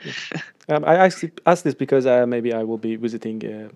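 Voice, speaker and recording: A man's voice: medium pitch, a little fast, a little mellow, no echo. Male interviewer, casual and friendly, easy laugh, dry room. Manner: friendly questioner, laughs often, hesitant with fillers.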